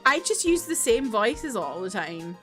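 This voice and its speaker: A woman's voice: on the high side, sing-song, measured pace, fairly bright tone, faint music behind. A woman streamer chatting casually about a game and laughing at her own voice acting. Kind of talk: casual gameplay chat.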